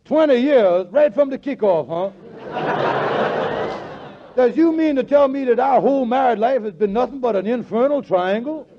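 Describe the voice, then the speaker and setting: An actor playing a scheming, blustering middle-aged husband who stammers in folksy grammar, in 1940s radio comedy style. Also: male voice, very high-pitched, animated, normal speed, noisy background. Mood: indignant. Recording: muffled vintage 1940s radio broadcast.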